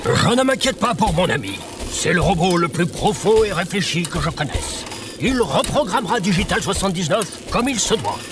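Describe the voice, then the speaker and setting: An actor plays a pompous, teasing companion in a comic audio drama. His high, lively voice moves around a lot, with clear ambient noise and birds behind it. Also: normal speed. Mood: assured. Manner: sententious, teasing. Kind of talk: comedic audio drama acting.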